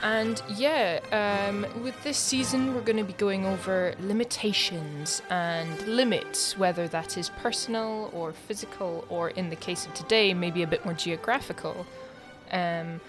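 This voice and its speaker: A woman's voice: medium pitch, measured pace, over music. A woman hosting a podcast in a chatty, conversational way, laughing easily. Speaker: podcast host.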